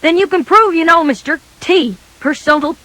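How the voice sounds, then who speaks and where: A woman's voice: very high-pitched, moderate pace, bright, forceful tone. A woman voicing a scornful, accusing schoolboy in a children's storybook, taunting and challenging.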